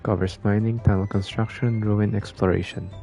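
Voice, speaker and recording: A man's voice: fairly low pitch, flat delivery, normal speed, vocal fry, warm tone. A man streaming a game and reading its text aloud in a plain delivery. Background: game music.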